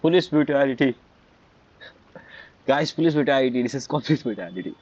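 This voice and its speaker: A man streams a game with casual, off-the-cuff commentary in a mid-pitched voice. He muses aloud and asks himself questions. The microphone sounds a little dull. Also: lively intonation, fast talker, a little mellow.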